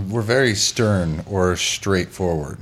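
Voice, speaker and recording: A man's voice: low voice, slow, slight background noise. A man in his thirties hosting a casual chat, telling stories animatedly, acting out quotes and swearing freely. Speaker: podcast host.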